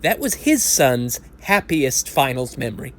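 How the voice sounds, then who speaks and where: A man's voice: mid-range voice, big pitch swings, a little slow, slight background noise. A man playing a hopeless, rambling sports fan in his thirties. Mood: bitter recollection. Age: 30s. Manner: rambling, self-deprecating, rising into indignant rants. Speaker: support group visitor. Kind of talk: amateur audio play acting.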